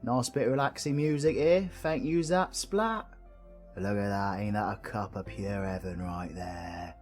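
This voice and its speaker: A man's voice: on the low side, a little slow, a little mellow, faint music behind, natural voice. A British man playing a cheeky, kind-hearted supervillain in an audio roleplay, chummy, slangy and reassuring, with a bit of mild swearing. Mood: upbeat, joking. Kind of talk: scripted audio roleplay addressed to the listener.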